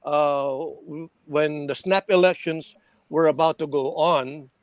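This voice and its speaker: A man's voice: on the high side, fairly even intonation, unhurried, no room sound. An older Filipino man telling radio stories in casual conversation as a veteran DJ, switching languages and restarting as he goes. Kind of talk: casual reminiscing chat, mixing English and Tagalog.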